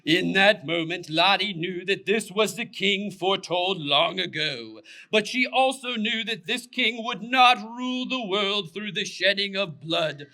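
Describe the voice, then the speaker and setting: Male puppet-show narrator: strikingly bright, high voice, expressive storybook delivery. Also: unhurried, hardly any pauses. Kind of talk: scripted children's storytelling.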